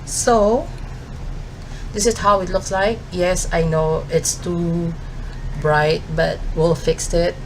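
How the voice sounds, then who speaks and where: Slow, easygoing Filipino woman vlogger. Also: lowish voice, steady tone, slight background noise, rough recording. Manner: chatty, with fillers like "um" and "like" while doing makeup. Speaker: beauty vlogger. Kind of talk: casual get-ready-with-me chat.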